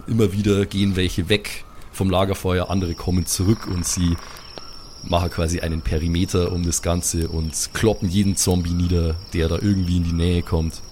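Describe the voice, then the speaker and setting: Low-voiced man narrating over background noise. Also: steady tone, normal speed, darkish tone. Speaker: game master and narrator. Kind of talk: improvised storytelling for a tabletop audio play.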